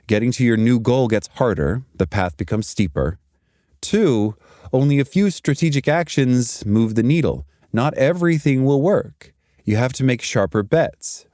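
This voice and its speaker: A man giving a scripted motivational career talk in an emphatic, deliberate manner with short punchy sentences. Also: mid-range voice, warm tone, dry recording, clean studio sound. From Zimbabwe and South Africa. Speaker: podcast host and career speaker.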